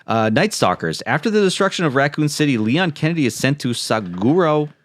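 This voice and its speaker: A man's voice: fairly high pitch, very animated, a little fast. A man co-hosting a podcast, reading plot summaries and chatting casually with wry, joking asides. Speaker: podcast co-host.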